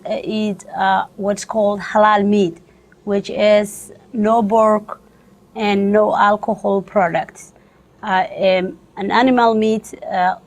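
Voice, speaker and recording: A woman from Somalia, a social work graduate giving students a spoken overview, pausing often with fillers and restarts. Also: medium pitch. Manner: halting, frequent "uh" fillers and restarts.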